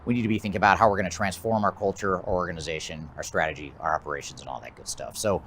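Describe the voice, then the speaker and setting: An American man giving a measured, methodical explanatory presentation as a business consultant, his phrases often trailing off at the end. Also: low voice, steady tone, a bit bright, slight background noise. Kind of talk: explanatory presentation of a trends list.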